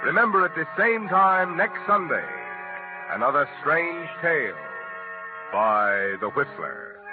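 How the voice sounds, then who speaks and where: Male announcer, 1940s radio style, fairly high pitch, lively swings, narrow vintage broadcast sound. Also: takes it slowly, music in the background.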